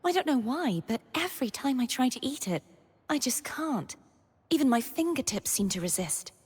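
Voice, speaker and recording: A woman playing a gentle, earnest, solemn young knightly maiden in a video game, speaking softly in a scripted monologue. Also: sits high, animated, normal speed, breathy edge. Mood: wistful, light. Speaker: game character voice actor. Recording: long reverb on the voice.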